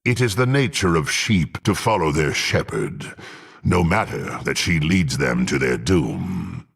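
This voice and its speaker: A brutal half-orc warrior from a fantasy game, contemptuous and hungry for power. The gravelly voice moves slowly but swings widely, dripping with haughty menace. The phrasing is archaic and formal. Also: male voice, extremely low pitch, big pitch swings, a bit bright, heavily acted. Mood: brooding. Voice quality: gruff, gravelly. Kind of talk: dramatic fantasy game voice acting.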